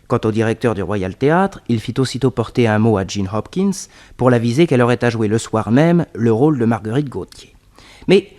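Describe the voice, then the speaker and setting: A man's voice: medium pitch, darkish tone, natural voice. An actor narrating a French radio detective serial as a male storyteller, in a scripted storytelling style.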